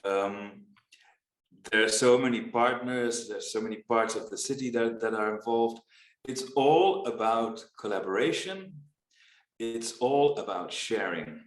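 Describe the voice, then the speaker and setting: A man on a video call who talks about his foundation's work in an unhurried, reflective way, with frequent pauses and ums. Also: mid-range voice, echoey room, poor audio. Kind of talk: casual video-call conversation.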